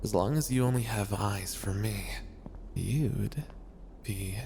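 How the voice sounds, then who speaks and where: A brutish, obsessive prince of hell, acted out by a man, speaks in a fairly low voice. He is blunt and brooding, with possessive menace, as in a yandere ASMR roleplay. There is slight noise behind him. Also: slow pace, vocal fry, mellow.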